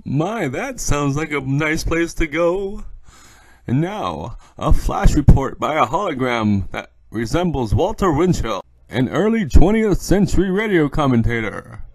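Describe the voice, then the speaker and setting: A male host presents a scripted podcast in a lively, swooping, slightly high voice. He reacts in a playful, mock-naive way, and the recording is close and dry. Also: measured pace, slightly rough, dry recording. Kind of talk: light scripted presenting of a fictional news show.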